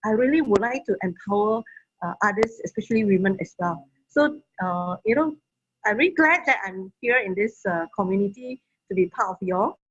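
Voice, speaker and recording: A woman's voice: mid-range voice, expressive, moderate pace, breathy edge, dull audio. A woman sharing a personal testimony on a video call, speaking hesitantly with many "uh" fillers. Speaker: community member sharing testimony.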